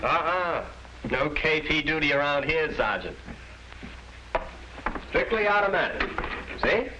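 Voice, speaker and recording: An actor playing a cocky, teasing American big brother, slangy and wisecracking, in a 1950s sitcom-style film. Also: male voice, fairly high pitch, expressive, measured pace. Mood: jaunty. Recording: noisy vintage 1950s film soundtrack.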